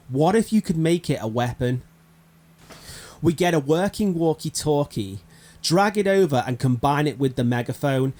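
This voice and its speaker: A man's voice: fairly high pitch, darkish tone. A man narrating an informal gaming explainer, talking directly to viewers in a conversational way. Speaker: video presenter of a gaming concept. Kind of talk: informal explainer narration of a game item idea.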